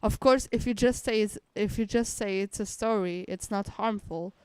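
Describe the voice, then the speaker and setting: Very clear-voiced woman streamer. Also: mid-range voice, moderate pace, darkish tone, no echo. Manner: reflective, repeats and rephrases points. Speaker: streamer reacting to a game. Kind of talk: casual live commentary.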